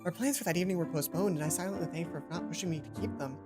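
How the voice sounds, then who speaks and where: A woman's voice: on the low side, racing. A woman doing a let's play, reading a visual novel's story aloud dramatically and dropping in casual asides about her own slips. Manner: acts out dialogue, stumbles and comments on own slips. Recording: music under the voice. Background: game music.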